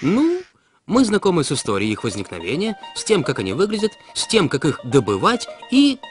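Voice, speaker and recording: A man's voice: fairly high pitch, huge pitch swings, fairly quick. A man delivering scripted explainer narration in a didactic way, putting rhetorical questions straight to the listener. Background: background music.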